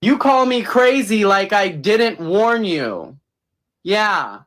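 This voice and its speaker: A woman in a short comedy clip speaking in a slow, theatrical, mock-menacing way. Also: mid-range voice, expressive.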